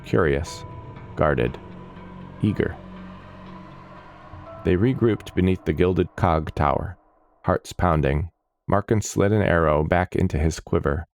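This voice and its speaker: A man reading scripted fantasy story narration in a measured, descriptive manner. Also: low voice, dry recording, faint music behind. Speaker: audiobook narrator.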